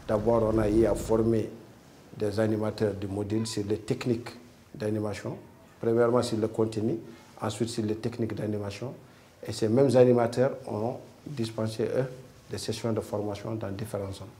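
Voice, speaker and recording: A man's voice: fairly low pitch, little pitch movement, moderate pace, warm tone. A man explaining project interventions in a documentary interview. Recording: faint background noise.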